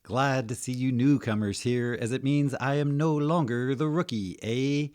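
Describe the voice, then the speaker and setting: A man as a big accented hunter, pitched up, loud. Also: measured pace, no echo, clean studio sound, close to an everyday voice. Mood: hearty, boastful. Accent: strong accent with overemphasized vowels. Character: tall, bulky, boastful young male monster hunter.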